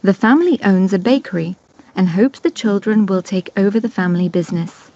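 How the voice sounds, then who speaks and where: Woman announcer for an exam recording, slow even read, mellow tone, dry dull playback. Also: medium pitch. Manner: slow, clear, even reading.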